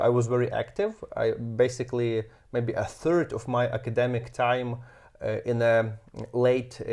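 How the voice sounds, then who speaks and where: A man in his thirties from Ukraine with a Ukrainian accent, speaking as an interview guest and often pausing with fillers while he searches for words. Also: mid-range voice, darkish tone. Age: 30s. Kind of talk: casual interview.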